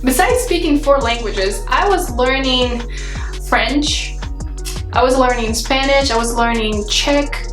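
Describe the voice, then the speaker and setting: Chatty woman, Russian native, echoey room. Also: on the high side. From Kazakhstan. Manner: chatty, frequent fillers. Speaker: vlogger. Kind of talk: casual talk to camera. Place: indoor bedroom with vanity mirror.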